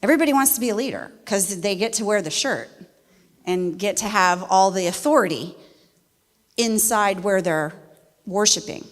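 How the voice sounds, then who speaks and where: A middle-aged woman from West Virginia, a missionary speaking to a church congregation in a folksy, animated way. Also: mid-range voice, moderate pace. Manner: folksy and animated, laughs easily. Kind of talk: church testimony talk. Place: church sanctuary. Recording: long reverb of a church hall.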